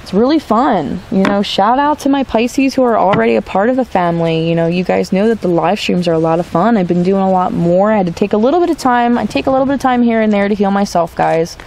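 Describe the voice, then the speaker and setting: Gravelly, mellow-voiced woman tarot reader. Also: medium pitch, slight background noise. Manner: reassuring, drawn-out, repeats "okay?". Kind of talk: tarot reading video. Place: outdoors in a forest.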